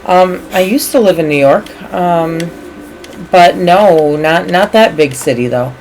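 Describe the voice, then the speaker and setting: A woman with a low voice and a Chicago accent chats casually while playing a video game. There is some light noise behind her as she talks. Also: unhurried, darkish tone, poor audio. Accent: Chicago American. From Chicago area, United States. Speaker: co-host of a video game stream, English teacher.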